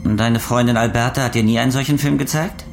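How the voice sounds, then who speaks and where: An actor playing a coldly menacing criminal interrogator in a crime radio drama, mock-courteous, taunting and deliberate. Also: male voice, mid-range voice, fairly even intonation, gravelly, faint music behind, natural voice. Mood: cold threat.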